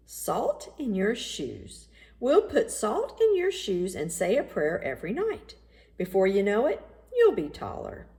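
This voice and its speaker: A woman voicing a loving, patient mother in a children's storybook, reassuring and gently firm as she instructs. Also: mid-range voice, wildly swooping pitch, a little slow, creaky, breathy edge, pitched above the performer's own voice, heavily acted. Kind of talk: children's storybook read-aloud.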